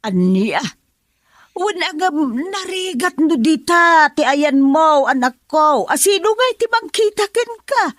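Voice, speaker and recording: A woman's voice: high voice, big pitch swings, unhurried, a bit bright, dry recording, studio quality, exaggerated voice. A woman with an Ilocano accent playing a worried middle-aged mother in a radio drama, pleading and anxious. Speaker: mother character.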